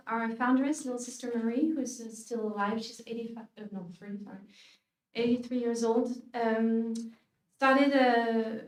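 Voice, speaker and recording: A religious sister from France with a French accent, giving a humble, hesitant faith talk with many fillers. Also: female voice, on the high side, a little mellow. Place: lecture hall. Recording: echoey hall, speaker far from the mic.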